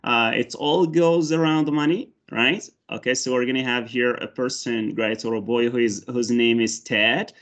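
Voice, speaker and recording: A man's voice: medium pitch, steady tone, normal speed, few pauses. Moroccan man with many hesitant fillers. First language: Arabic and Berber. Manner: hesitant, many fillers and restarts, rises brightly when teaching. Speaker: English teacher candidate. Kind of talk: mock job interview and demo lesson. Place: indoor room with large windows. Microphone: video call, headset.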